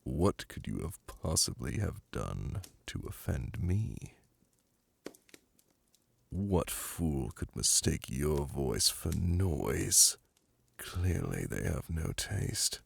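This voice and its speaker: A man voicing a suave, flirtatious, lordly demon prince in an ASMR roleplay, purring teasing endearments in a languid, imperious manner with a gravelly, breathy voice. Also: very deep voice, very animated, slow pace, full character voice. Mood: amused, flirtatious. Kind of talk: ASMR roleplay audio drama. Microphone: close, dry microphone.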